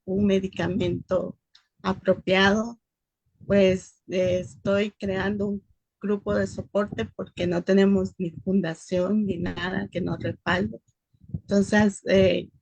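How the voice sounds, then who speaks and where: A woman from El Salvador with a Salvadoran accent, speaking earnestly and haltingly on a video call. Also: mid-range voice, creaky, darkish tone, slight background noise, poor audio. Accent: Salvadoran Spanish. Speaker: support group organizer. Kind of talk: casual question.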